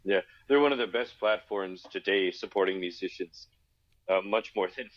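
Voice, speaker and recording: A man with a low voice answers questions as a guest, relaxed and thoughtful. He pauses often and searches for words. His recording sounds a little dull and muffled. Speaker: guest musician. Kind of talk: casual interview chat.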